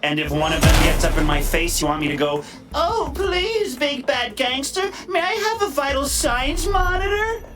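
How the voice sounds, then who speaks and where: A cocky masked game vigilante with a wildly animated, theatrical delivery. He teases and wisecracks crudely, sliding into playful mock voices, over loud music. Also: very high-pitched, moderate pace. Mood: mocking, showy. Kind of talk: video game voice acting.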